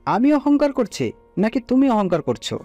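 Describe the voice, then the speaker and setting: A man's voice: very high-pitched, normal speed, lo-fi sound, heavily acted. A man voicing a playful young husband who jokes and bickers with his wife in an audio story. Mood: teasing. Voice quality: joking, lively banter. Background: soft music.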